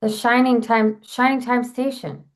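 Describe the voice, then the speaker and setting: A woman performing on a comedy show, trading casual banter in a deadpan way. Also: fairly high pitch, unhurried.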